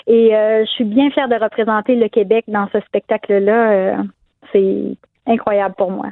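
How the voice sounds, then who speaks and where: A woman's voice: medium pitch, steady tone, moderate pace, no echo. Saguenay-accented woman over a phone line. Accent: Saguenay Québécois French. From Quebec. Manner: friendly, many hesitant fillers. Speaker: singer, interview guest. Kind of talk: casual radio interview.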